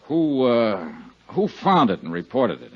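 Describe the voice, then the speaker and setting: A man's voice: medium pitch, big pitch swings, a little slow. A man playing a tough, wry insurance investigator in a 1950s radio drama, speaking and narrating in a hard-boiled manner. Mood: probing. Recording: muffled 1950s radio recording with music.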